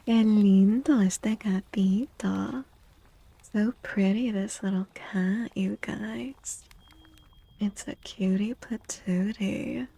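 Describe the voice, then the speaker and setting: A soft-spoken woman chatting in a gentle, playful ASMR style as a streamer, often dropping to a whisper. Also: medium pitch, fairly even intonation, takes it slowly, gravelly. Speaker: live streamer reading tarot cards for chat. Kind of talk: soft-spoken ASMR chat, greeting viewers.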